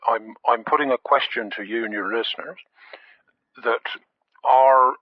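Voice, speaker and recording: A stubborn, blundering caller argues on a comedy radio phone-in. He is mid-pitched, stammers and repeats himself, heard down a dull phone line. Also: fairly even intonation, unhurried, breathy edge, no echo. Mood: insistent.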